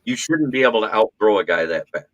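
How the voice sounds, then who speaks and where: A man's voice: fairly low pitch, quick pace, dry recording, highs cut off. A man hosting a casual sports podcast, talking in a practiced radio voice and poking fun at himself. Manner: self-deprecating, animated storyteller with a practiced radio voice. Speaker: podcast host. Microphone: podcast mic.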